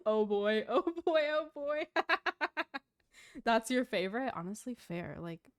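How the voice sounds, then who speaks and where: A woman's voice: fairly high pitch, lively intonation, takes it slowly. Gushing, informal woman game streamer. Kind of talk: casual live stream commentary.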